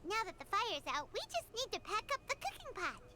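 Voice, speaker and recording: A woman voicing a tiny, eager, childlike fairy companion in a video game, with a squeaky cartoonish voice. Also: big pitch swings, fairly quick, noisy background. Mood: helpful, chirpy. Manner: refers to self in third person.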